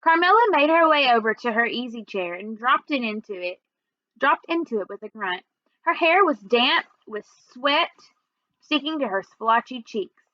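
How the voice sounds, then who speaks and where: A woman storyteller reading a first-person children's book aloud in an expressive, measured way. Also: on the high side, no echo, highs cut off.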